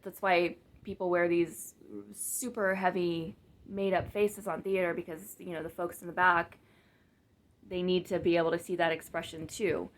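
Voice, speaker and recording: A woman talking casually to her camera in a monotone, flat voice, rambling with fillers and restarts and poking fun at herself. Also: on the low side, moderate pace. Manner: rambling, many fillers and restarts, self-mocking. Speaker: vlogger and business coach. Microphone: camera mic, speaking to the lens.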